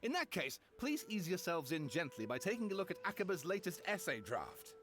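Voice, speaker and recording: A man's voice: high-pitched, big pitch swings, fairly quick, fairly bright tone. An actor playing an earnest, polite young male scholar in a video game. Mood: eager, polite. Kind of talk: video game dialogue acting.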